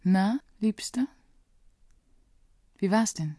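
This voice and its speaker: An actress playing an affectionate, gently worried middle-aged wife in a radio drama, speaking intimately and tenderly. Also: female voice, mid-range voice, animated, extremely slow, no room sound, clean studio sound.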